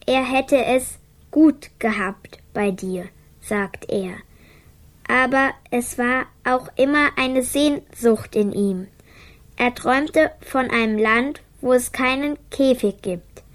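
A sad young girl in a radio drama, guarded and private. The voice is high and unhurried. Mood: hushed reading. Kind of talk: radio play acting.

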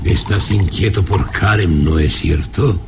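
An actor playing a domineering, menacing baron with a mocking, commanding manner in a radio drama. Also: male voice, deep voice, very noisy. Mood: sinister taunting. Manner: slow taunting menace, commanding. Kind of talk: vintage radio drama acting.

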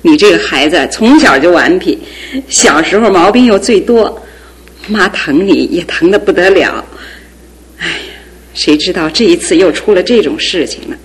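A woman's voice: on the low side, lively intonation, moderate pace, natural voice, low-quality recording. A woman playing a fussing, doting mother. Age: middle-aged. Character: caring middle-aged mother, fussing and tender. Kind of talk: radio drama acting.

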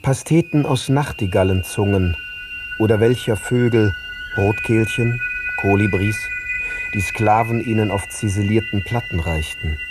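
A man narrating scripted literary prose in an audio drama, phrase by measured phrase. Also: lowish voice, over music.

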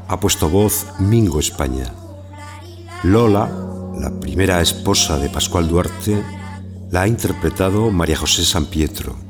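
A man from Spain reading scripted credits as a radio announcer. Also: low voice. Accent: Spanish, from Spain. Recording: clear music behind the voice.

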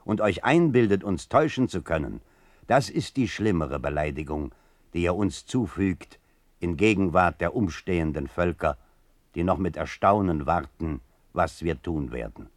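An actor playing a solemn, indignant reader of a political manifesto, declaiming with measured rhetorical emphasis in a radio play. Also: male voice, low-pitched, expressive, no room sound, studio quality.

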